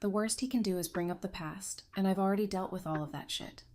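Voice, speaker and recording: A woman playing a composed, tough-minded therapist in an audio drama, speaking in a measured, dry, self-assured way. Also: mid-range voice, a little mellow, close to an everyday voice. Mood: firm.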